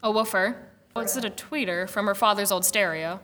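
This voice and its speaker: A woman's voice: medium pitch, moderate pace, bright, forceful tone, natural voice. A woman narrating a radio drama in a wry, theatrical storybook manner. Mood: wry, storytelling. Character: wry storyteller narrating a girl inventor's tale.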